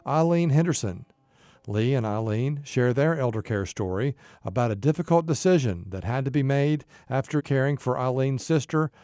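A man presents a radio program in a scripted, introductory style. His voice sits at a medium pitch, with plucked guitar music playing clearly behind him.

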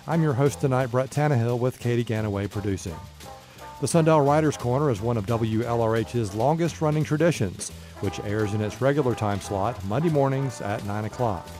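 A man's voice: medium pitch, brisk, a little mellow. A man hosting a radio show, reading a scripted introduction. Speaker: radio host. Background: music bed.

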